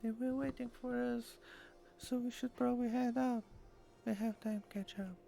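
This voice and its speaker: A man's voice: very high-pitched, steady tone, normal speed, gravelly, dark tone, voice pushed up, heavily acted. A man voicing an earnest young woman from a visual novel in a strained, feminine delivery.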